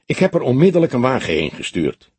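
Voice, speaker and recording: Guy doing a police front desk commander in an audiobook, matter of fact, husky, dry room. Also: medium pitch, wildly swooping pitch, fast, a little mellow, dry recording, voice pushed up, heavily acted. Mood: reporting. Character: dutiful male police desk commander reporting in. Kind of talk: audiobook character voice.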